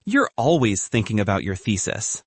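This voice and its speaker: A man voicing an affectionate, concerned young woman in a narrated story, gently probing. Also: on the low side, very animated, moderate pace, breathy edge, dry recording.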